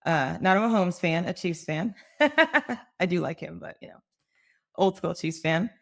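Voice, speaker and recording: A woman's voice: lowish voice, lively intonation, unhurried, creaky. An American woman in her forties from the Midwest, chatting casually and self-deprecatingly as a podcast guest. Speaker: podcast guest, marketing professional. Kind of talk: casual storytelling in an interview.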